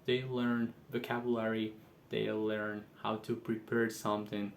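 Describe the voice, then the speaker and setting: A man's voice: fairly low pitch, little pitch movement, unhurried, slight background noise. A man, a teacher, narrating a school video segment.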